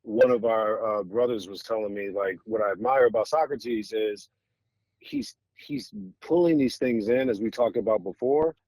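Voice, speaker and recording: Low-voiced American man hosting an interview. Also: normal speed, a little mellow, no echo, poor audio. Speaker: podcast host interviewing guests. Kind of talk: casual conversational interview.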